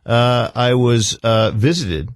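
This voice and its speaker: A man's voice: medium pitch, slow, no echo. A man conducting a probing, therapy-style radio interview as a psychiatrist, pressing with repeated questions and cutting in.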